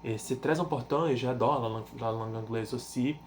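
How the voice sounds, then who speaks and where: A man in his twenties from Brazil, speaking French with a Brazilian Portuguese accent and a Portuguese first language. He talks in a halting, casual way, pausing often to search for words, with frequent fillers and restarts. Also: medium pitch, a little hiss or hum. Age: 20s. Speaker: language learner vlogger. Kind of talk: casual self-introduction.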